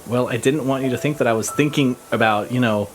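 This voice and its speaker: A man's voice: mid-range voice, fast talker, slight background noise, natural voice. Awkward, bashful man played by an actor. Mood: flustered. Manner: stammering, bashful, rambling. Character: awkward, flustered, helpful man hosting alien visitors. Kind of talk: audio drama acting. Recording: loud music under the voice.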